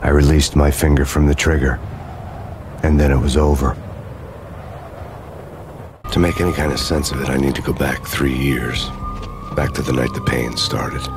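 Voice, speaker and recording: Brooding American noir detective. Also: male voice, a bass voice, level tone. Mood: grim, brooding. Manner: measured, poetic, grim. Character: brooding, world-weary New York police detective, man. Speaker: narrator and protagonist detective. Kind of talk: hardboiled noir scripted narration. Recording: music behind the voice.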